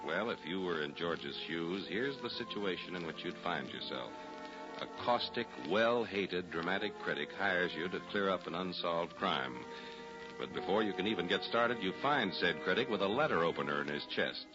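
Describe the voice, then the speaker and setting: A man's voice: deep voice, expressive, normal speed. A male radio announcer reading scripted narration and advertising in a polished, emphatic 1940s style. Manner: polished, emphatic salesman delivery. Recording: dull 1940s radio recording with music.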